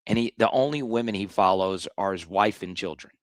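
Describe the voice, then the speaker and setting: A man with a slightly hoarse voice hosting a live sports talk stream, blunt and animated, often restarting his sentences. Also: low voice, a little fast, no echo. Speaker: sports show host.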